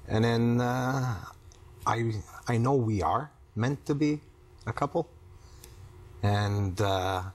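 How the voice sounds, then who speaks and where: A man in his fifties talking haltingly and candidly about himself in a personal conversation. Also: mid-range voice. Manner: halting, hesitant. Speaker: client in couples therapy interview. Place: small indoor TV studio living-room set.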